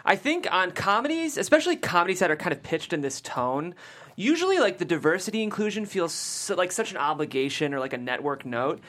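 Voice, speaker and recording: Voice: mid-range voice, big pitch swings, fairly quick, fairly bright tone. A chatty, enthusiastic show host reading script directions and interviewing a guest.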